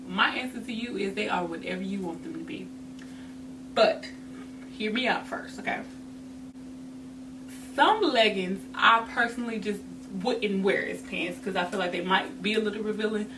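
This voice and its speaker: A woman hosts a vlog in a casual, chatty style, talking straight to the viewer. Her delivery is sassy and emphatic, full of informal slang, at an average pitch for a woman. The mic sits at a distance and there is noticeable noise in the recording. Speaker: host of a fashion advice vlog. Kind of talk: casual chatty advice to camera.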